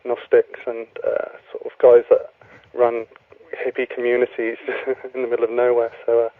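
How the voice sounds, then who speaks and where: A young man, a guest author speaking in a radio interview, relaxed and hesitant with many ums. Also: medium pitch, normal speed, no echo. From Wales. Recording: tinny phone line, dry.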